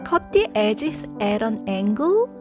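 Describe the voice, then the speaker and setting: Slow-spoken woman with a Korean accent. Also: a little high, sing-song. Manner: short simple directions in non-native English. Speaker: craft tutorial host. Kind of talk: step-by-step crafting instructions. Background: soft piano music.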